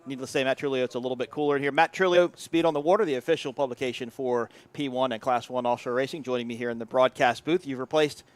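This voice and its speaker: A man's voice: mid-range voice, steady tone. A man doing live sports commentary from an indoor broadcast booth, upbeat play-by-play. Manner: upbeat, rapid play-by-play delivery. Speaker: race commentator.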